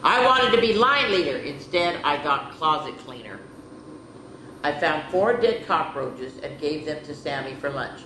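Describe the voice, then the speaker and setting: A woman's voice: sits low, animated, measured pace, bright, forceful tone, a little hiss or hum, heavily acted. Woman reading as worried, grumbling young schoolboy, roomy echo. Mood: earnest, resigned. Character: young schoolboy diarist, worried and grumbling among animal classmates. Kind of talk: expressive children's storybook read-aloud.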